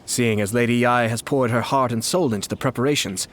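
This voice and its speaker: A man voicing a poised young nobleman in an anime video game, speaking in a formal, polite and measured manner. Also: mid-range voice, slight background noise, close to an everyday voice. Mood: composed, courteous. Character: poised, courteous young nobleman, a festival commissioner. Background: background music.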